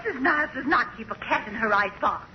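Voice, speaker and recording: Actress as fussy scolding mom, animated and drawn-out, vintage radio comedy, very noisy with audience behind. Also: female voice, on the high side, lo-fi sound, lightly acted. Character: scolding, easily amused mother of a naughty boy. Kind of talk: 1940s radio comedy acting.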